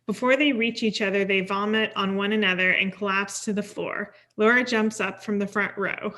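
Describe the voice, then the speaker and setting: A woman's voice: mid-range voice, steady tone, normal speed, bright, forceful tone. A woman reading stage directions as scripted narration at a table read.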